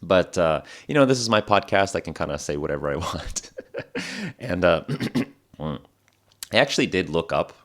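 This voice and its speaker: A young man with an extremely deep voice, lower than usual because it is morning, talks through a casual podcast episode for English learners. He rambles easily, filling gaps with "uh" and "like" and correcting himself as he goes. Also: normal speed. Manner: relaxed, rambling, many fillers and self-corrections. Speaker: podcast host.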